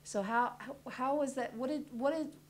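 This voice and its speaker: A woman's voice: high voice, moderate pace. A woman interviewing a guest, friendly, often restarting her questions.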